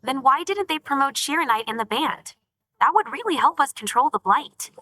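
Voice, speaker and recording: Game operations assistant: young, very high, lilting, polite and dutiful, crisp announcements. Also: female voice, lively intonation, moderate pace, dry recording. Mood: curious. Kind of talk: video game voice acting. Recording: loud game music behind. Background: music.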